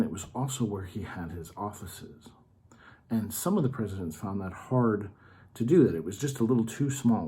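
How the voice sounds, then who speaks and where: A man's voice: deep voice, dark tone. A man hosting a children's story time, patiently explaining things in simple terms in an informal, educational read-aloud style.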